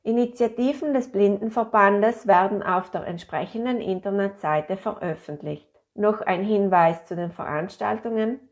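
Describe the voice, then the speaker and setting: A woman reading a calendar of events aloud in a slow, measured way. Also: mid-range voice, darkish tone. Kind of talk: scripted reading of announcements.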